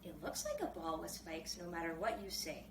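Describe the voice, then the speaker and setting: A woman voicing a chattering, stubborn, excitable seven-year-old boy in a storybook reading. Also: fairly low pitch, normal speed, pitched below the performer's own voice. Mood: insistent. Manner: jabbering, squealing, insistent. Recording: roomy, distant mic, clearly noisy background.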